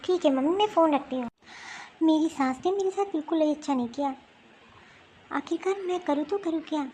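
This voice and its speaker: A woman playing a hurt, resolute young married woman in an amateur family cartoon, with an emphatic, drawn-out delivery. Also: high voice, dark tone, slight background noise, natural voice. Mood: stubborn, hurt.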